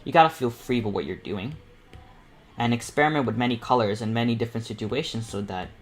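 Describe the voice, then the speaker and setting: A man's voice: mid-range voice, fast talker, faint music behind. A young man talking casually through a live art tutorial, rambling a little and repeating himself in an informal, encouraging way. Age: teenager or young adult. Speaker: painting panel host and self-taught artist. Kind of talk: casual live tutorial commentary while painting.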